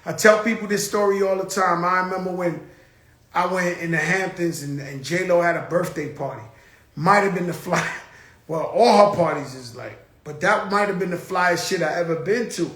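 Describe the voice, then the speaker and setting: A man's voice: high-pitched, normal speed, a bit bright, roomy sound. A man hosting his own show, talking in a blunt, profane, rambling casual monologue. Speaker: show host.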